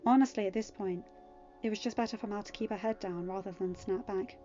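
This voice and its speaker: A woman's voice: mid-range voice, a little fast, mellow, lo-fi sound. Woman narrating a story over music. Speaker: fan fiction narrator. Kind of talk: scripted audiobook narration.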